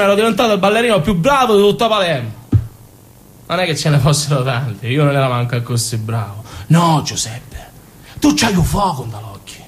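A man acting a naive, passionate young Sicilian man in an intense, confessional stage monologue with a Sicilian accent. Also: fairly high pitch. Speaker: stage actor. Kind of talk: theatrical monologue in dialect.

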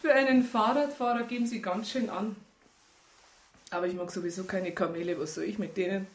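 A woman's voice: mid-range voice, steady tone, a little fast, natural voice. Radio play: actress as disillusioned, independent woman, flirtatious and blunt, dry delivery, natural mid-range voice. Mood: dry teasing. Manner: dry, teasing, frank. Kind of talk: naturalistic radio drama acting.

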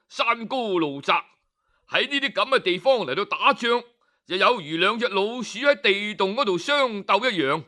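A man with a Hong Kong Cantonese accent voicing a calm, shrewd old general in a storybook tale, speaking in a measured, reasoning way. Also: sits high, full character voice.

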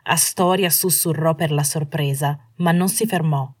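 A woman's voice: low voice, steady tone, a little slow, breathy edge, no room sound, clean studio sound. A woman reading a scripted story as an audiobook narrator.

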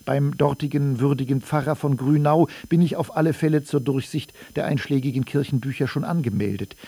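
A man reading dated letters and notes aloud in a radio production, measured and matter-of-fact. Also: medium pitch, gravelly, mellow, slightly rough, no echo. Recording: dry studio.

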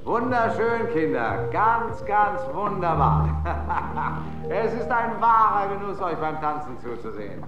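Radio drama: unctuous male showman MC, slow and swooping, big echo. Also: high voice, very animated, highs cut off, exaggerated voice. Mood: gloating delight. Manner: showy, drawn-out, mock-sweet announcing. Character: gleeful, unctuous dance-marathon master of ceremonies. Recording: strong echo of a hall, loud music. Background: loud music.

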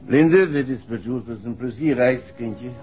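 An actor playing an evasive husband who is secretly a criminal mastermind in a 1960s radio drama, with hesitant, dry Netherlands Dutch speech. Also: male voice, medium pitch, a little slow, darkish tone, faint music behind, close to an everyday voice. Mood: soothing, evasive. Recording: muffled, noisy 1960s radio recording.

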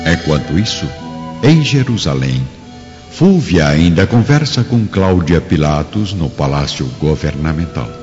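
A man's voice: low voice, sing-song, measured pace. A Brazilian man narrating a radio drama in a dramatic scripted style. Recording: muffled, long reverb, loud music behind. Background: music.

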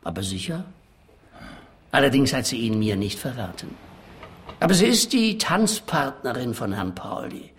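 Swooping, defensive quack beauty doctor. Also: male voice, mid-range voice, big pitch swings, normal speed, long pauses, a bit bright. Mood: gossipy. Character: defensive, financially ruined male beauty doctor in debt. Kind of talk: German-language comic detective radio play acting.

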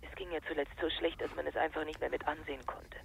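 A woman's voice: deep voice, little pitch movement, fast talker, gravelly, a bit bright. Blunt woman on a noisy call. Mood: grim, controlled. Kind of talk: radio drama acting.

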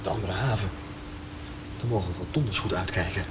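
An actor playing a grumbling, quick-tempered travelling companion in a radio soap. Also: male voice, deep voice, a little hiss or hum, lightly acted.

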